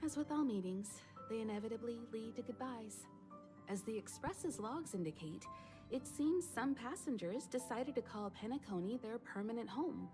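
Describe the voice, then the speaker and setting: A woman voicing a gracious, knowing navigator in a video game, speaking in a measured, formal, gently musing way. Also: fairly high pitch, animated, lightly acted. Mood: gracious, reflective. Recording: game dialogue over music.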